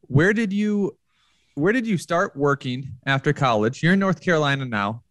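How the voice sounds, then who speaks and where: A man's voice: a little high, lively intonation, normal speed, no echo. Conversational male podcast host, dry. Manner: conversational, stumbles and repeats words. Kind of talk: podcast interview.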